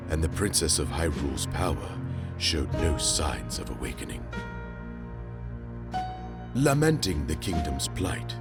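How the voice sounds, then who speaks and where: A man narrating a video game cutscene in a solemn storytelling manner. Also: sits low. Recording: game score underneath.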